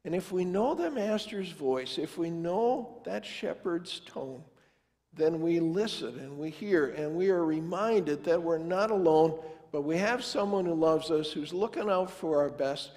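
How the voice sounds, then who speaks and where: A man's voice: a little high, lively intonation, unhurried, a little mellow. An older man preaching as pastor, telling a family story in a folksy, conversational way and acting out the dialogue. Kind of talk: storytelling sermon. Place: large church sanctuary, standing before the altar. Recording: long church reverb.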